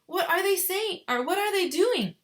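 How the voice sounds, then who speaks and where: A curious young storybook boy played by a woman, high-pitched and full of excitement. He exclaims eagerly as he asks questions. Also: normal speed. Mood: excited. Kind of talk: children's storybook read-aloud.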